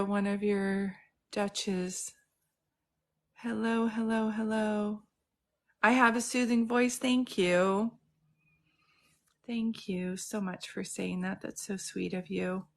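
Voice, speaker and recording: Brief: middle-aged woman, mid pitch, casual livestream host, chatty, greets viewers by name, phone mic. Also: a bit bright. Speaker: psychic medium host. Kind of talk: casual live-stream chat. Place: home in Santa Cruz.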